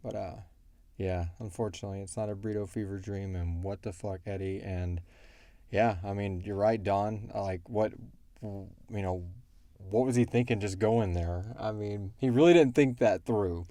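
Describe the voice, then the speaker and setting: A man's voice: on the low side, moderate pace, dark tone, no echo. A man hosting a casual question-and-answer podcast, talking in an informal, joking, slangy way. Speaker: podcast host and show creator. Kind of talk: casual Q&A discussion.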